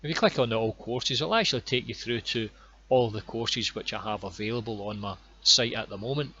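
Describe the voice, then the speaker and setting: A Scottish man guiding viewers through his online course with plain, unhurried explanations and a few ums and repeated words. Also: on the low side, sharp tone, husky, dull audio. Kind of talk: screen-recorded course walkthrough.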